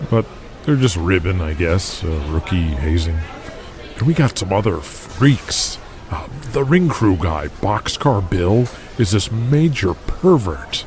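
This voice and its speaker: A man acting as a brash, boyish 1980s pro wrestler in an audio drama. He banters in casual, sweary slang, with a slightly low voice and faint music underneath. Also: a little mellow. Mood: hesitant, uneasy.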